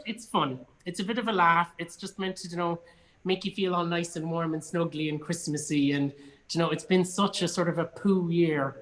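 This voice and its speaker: An Irishman in relaxed, informal conversation, talking with enthusiasm about things he enjoys. His voice sits in an ordinary range, and he comes across as chatty, like a guest on an online show. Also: fairly high pitch, low-quality recording. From Cork, Ireland. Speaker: guest, actor and theatre producer. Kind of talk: casual online chat. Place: indoors in a studio.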